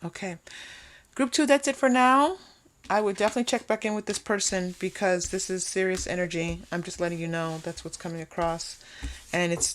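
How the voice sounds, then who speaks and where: A woman hosting an unscripted tarot reading, talking conversationally to viewers and often pausing or repeating phrases as thoughts come to her. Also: fairly low pitch, slight background noise. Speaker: tarot reader hosting a pick-a-card reading.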